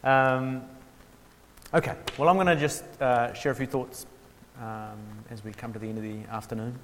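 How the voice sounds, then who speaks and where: A man gives a relaxed workshop talk at a middle pitch. He pauses often with ums and restarts, and adds a dry, self-mocking humour. His voice carries a long hall reverb. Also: slight background noise. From New Zealand. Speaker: workshop speaker on theology. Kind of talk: informal lecture.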